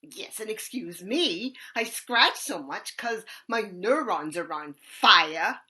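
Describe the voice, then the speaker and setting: An actress performing a stage monologue as an eccentric bag lady with a raspy voice, talking loudly and cackling, then dropping to hushed asides. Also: medium pitch, animated, slow. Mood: brash. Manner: loud, cackling, swings between shouting and hushed asides.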